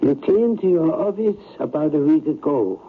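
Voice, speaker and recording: An actor playing a skeptical, patronizing psychiatrist in an old radio drama, with a deliberate, lecturing manner. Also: male voice, fairly high pitch, expressive, natural voice. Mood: brisk, formal. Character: probing, skeptical male psychiatrist, confident and patronizing. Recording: narrow, noisy vintage radio broadcast.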